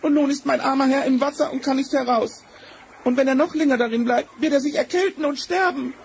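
Very high, creaky sly tomcat. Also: male voice, fairly even intonation, a little fast. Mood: pleading. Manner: wheedling, cunning, theatrical. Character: sly, bossy talking tomcat in boots. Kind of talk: 1970s German fairy-tale radio play acting. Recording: noisy vinyl record transfer.

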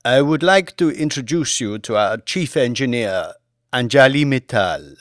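A man's voice: mid-range voice, expressive, unhurried, no room sound, natural voice. A male narrator plays a young company boss in an audiobook. He speaks formally and politely, in a dry, close recording. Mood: formal, welcoming. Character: gangly young Indian construction boss, formally introducing.

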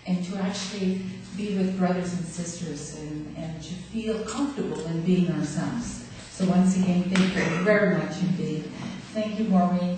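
A woman's voice: fairly low pitch, warm tone. A middle-aged woman guest speaker giving a heartfelt address at a spiritual service, gesturing as she talks. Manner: heartfelt, talks with her hands, laughs easily. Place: hall stage with curtains. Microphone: podium mic, far camera. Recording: echoey hall from far off, faint noise.

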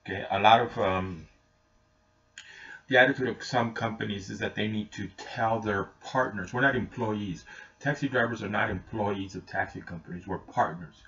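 A man's voice: on the low side, moderate pace, a bit bright. A middle-aged man giving an informal, plain-spoken explanation in a matter-of-fact way. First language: Spanish is not his natural language; English speaker. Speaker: taxi driver explaining his service. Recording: muffled webcam mic, distant.

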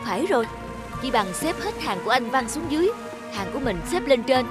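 A woman's voice: high voice, big pitch swings, a little fast, music in the background. A woman playing a selfish, calculating male rice trader who mutters to himself in a cartoon fable. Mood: sly, pleased. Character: scheming, selfish male rice trader, self-pitying when beaten.

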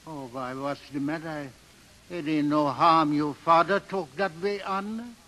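An actor playing a fond, superstitious old Swedish barge captain in broken English, in a 1930s radio drama. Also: male voice, fairly high pitch, a little slow, sharp tone. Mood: fond, coaxing.

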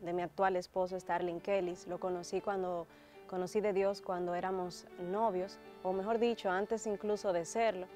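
A Dominican woman in her thirties giving a personal testimony to camera in a studio, composed and measured. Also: fairly low pitch. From Dominican Republic. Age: 30s. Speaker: guest. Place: TV studio, dark backdrop. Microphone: lapel mic.

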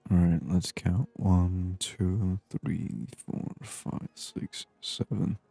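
Man, ASMR gaming host, hushed murmur, very deep, close mic, faint music behind. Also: steady tone, no echo. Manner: hushed, unhurried murmur, at times whispering. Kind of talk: soft-spoken ASMR gameplay commentary.

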